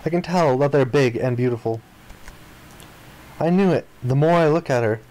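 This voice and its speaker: A man reading the narration and dialogue of a visual novel aloud in an expressive style. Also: medium pitch, moderate pace, rough recording.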